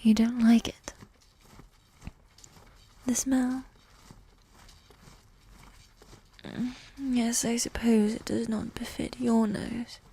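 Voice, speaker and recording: Breathy, slow-spoken evil queen, acted by a woman. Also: fairly high pitch, heavily acted. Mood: gloating, teasing. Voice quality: breathy, soft, half-whispered. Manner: slow, regal, formal phrasing with sighs. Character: evil warrior queen, possessive, condescending yet doting wife. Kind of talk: roleplay ASMR, intimate whispering.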